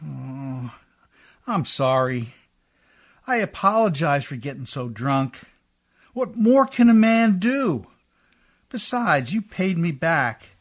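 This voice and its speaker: Man playing rough boozy riverboat skipper for radio drama: drawn-out, whiny, folksy grumbler. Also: on the high side, big pitch swings, full character voice. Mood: pleading. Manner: uneducated, folksy, grumbling speech. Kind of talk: radio play acting.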